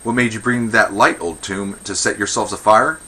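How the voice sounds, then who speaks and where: A man's voice: fairly low pitch, fairly even intonation, moderate pace, pushed and bright. A man playing quarrelsome, blustering old Athenian men in a verse comedy, hurling declamatory insults. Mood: scornful.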